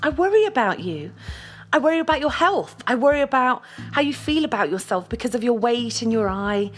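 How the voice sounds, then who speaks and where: A woman's voice: on the high side, very animated, fairly quick, faint music behind. A woman with a British accent playing a blunt, scornful, image-obsessed girlfriend in an audio drama, with a cutting, emphatic delivery. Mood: concerned, coaxing.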